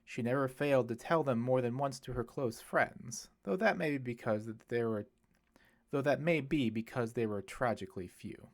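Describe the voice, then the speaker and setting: A man acts out a genial older priest from an audiobook novel. He is a wry old hippie at heart, mid-pitched, wordy and gently eloquent. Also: normal speed, lightly acted. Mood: gentle, reflective.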